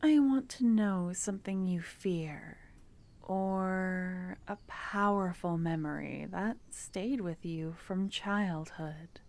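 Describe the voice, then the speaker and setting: Lady doing a slow mellow whispery necromancer gf, teasing and sweet. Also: fairly low pitch. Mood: tender, teasing. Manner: soft, intimate, often whispered. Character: tender young female court necromancer, playful lover. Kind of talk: ASMR roleplay.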